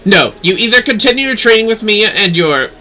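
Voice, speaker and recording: A man's voice: high-pitched, animated, a little fast, bright, forceful tone, faint music behind, full character voice. A man voicing a haughty, stern young noblewoman astrologer from a game, formal and scolding. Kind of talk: game dialogue read-aloud.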